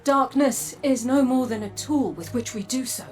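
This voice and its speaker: An actress playing a resolute, enigmatic female guide in a video game, earnest and deliberate. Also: high-pitched, faint music behind, close to an everyday voice. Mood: grave, reflective. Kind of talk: video game narration, acted.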